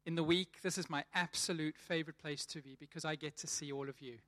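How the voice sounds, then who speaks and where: Church speaker, male, 30s, South African accent, high and bright, chatty, restarts his phrases. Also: steady tone, fast. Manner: chatty, repeats words as he restarts. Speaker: church leader preaching. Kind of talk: sermon.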